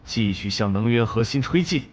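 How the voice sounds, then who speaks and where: A man's voice: medium pitch, expressive, moderate pace, a little hiss or hum, natural voice. A man playing a cold, clipped elite raider giving terse military reports in a drama.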